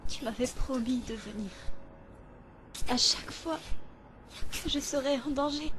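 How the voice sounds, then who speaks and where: A woman voices a betrayed young village girl in an anime dub. Her high voice is plaintive and bitter, with clear noise around it. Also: unhurried, a bit bright. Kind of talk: amateur French anime dub acting. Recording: noisy amateur recording.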